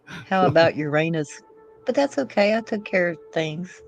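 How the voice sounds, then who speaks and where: A woman's voice: lowish voice, expressive, moderate pace, faint music behind. A woman co-hosting a casual livestream, chatting and reading script lines aloud. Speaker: co-host and script reader.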